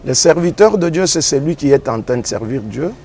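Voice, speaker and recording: A man with a Congolese French accent, a pastor and engineer, answering interview questions in a measured way and repeating phrases as he defines ideas. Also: on the high side, animated, dark tone. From Democratic Republic of the Congo.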